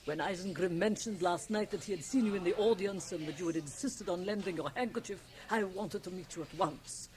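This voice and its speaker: A woman's voice: lowish voice, a little mellow, lightly acted. Imperious, coaxing autocrat woman played by an actress. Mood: flattering. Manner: formal, deliberate, coaxing. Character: imperious, shrewd, persuasive female company autocrat. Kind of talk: radio drama acting. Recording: hissy 1980 radio cassette transfer.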